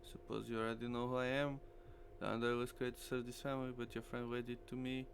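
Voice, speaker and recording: A man voicing a calm, ominous samurai android in a visual novel. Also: medium pitch, quick pace, lightly acted. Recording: clearly noisy stream with hum.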